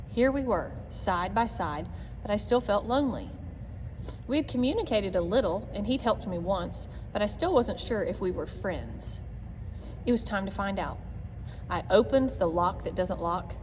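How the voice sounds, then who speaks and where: A woman with a mid-pitched voice narrates a children's storybook expressively, in clear phrases. The recording has long reverb and some noise behind her. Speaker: read-aloud reader.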